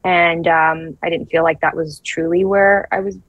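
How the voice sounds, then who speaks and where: Woman from brazil, 30s, lowish voice, halting, lots of restarts, dry close mic. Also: fairly even intonation, no echo, phone-quality sound. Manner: thoughtful, halting with many restarts and fillers. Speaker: actress guest. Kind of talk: casual interview answers.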